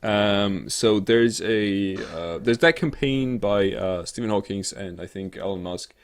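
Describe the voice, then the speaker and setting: A man's voice: fairly low pitch, measured pace, clean tone. A man co-hosting a show in casual comedic chat, talking in a hesitant, rambling way full of filler sounds. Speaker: co-host of a weekly show. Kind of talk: casual comedic chat about tech news.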